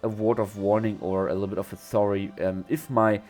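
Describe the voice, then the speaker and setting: A man's voice: lowish voice, level tone, fairly quick, darkish tone, faint music behind. A young man with a cold, voice slightly off, chatting and rambling through a game commentary voice-over with many restarts. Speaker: zoo-building game creator.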